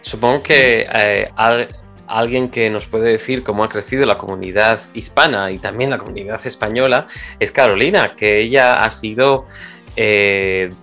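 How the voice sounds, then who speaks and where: A man's voice: fairly low pitch, pushed and bright, faint music behind. Man hosting a radio interview. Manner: measured, searching for words. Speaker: radio host. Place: radio studio.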